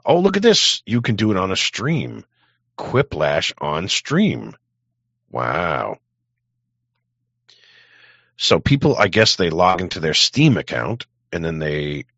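A man live-streaming in casual chat, rambling bluntly and swearing, with a gravelly, husky voice. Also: sits low, a bit bright, no room sound. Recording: dull, close, dry microphone.

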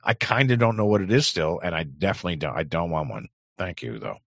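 Man, streamer, deep gravelly husky voice, lively swooping pitch, dry dull sound, crude rambling chat. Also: fast talker, dry recording. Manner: crude, rambling reactions to clips. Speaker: live streamer. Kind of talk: casual live-stream chat.

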